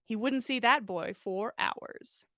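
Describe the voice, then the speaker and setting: A woman podcast host reading a story aloud in an expressive narrating style. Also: a little high, slow, no echo, studio quality. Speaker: podcast host reading listener fan fiction.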